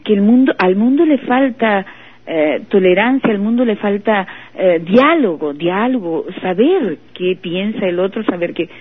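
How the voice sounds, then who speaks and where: A woman comes through a thin phone line, speaking slowly and huskily. She is moved and halting, and repeats her words. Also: medium pitch, expressive. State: moved, emotional. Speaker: guest. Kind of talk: emotional testimony. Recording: husky voice over phone line.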